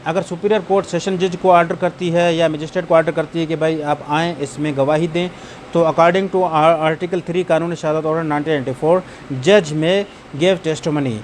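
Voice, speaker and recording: Male law lecturer, Pakistani accent, fairly high voice, explains by question and repetition, noisy recording with background hum. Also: fairly even intonation, normal speed. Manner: repeats key points with rhetorical questions. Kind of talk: explanatory lecture mixing in English legal terms.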